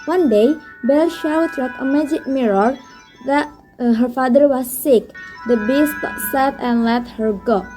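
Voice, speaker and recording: A young woman with a clearly high voice delivers a scripted storytelling presentation like a student in class. Music plays clearly behind her as she reads. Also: unhurried, dark tone, low-quality recording. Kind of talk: scripted fairy-tale narration and school presentation.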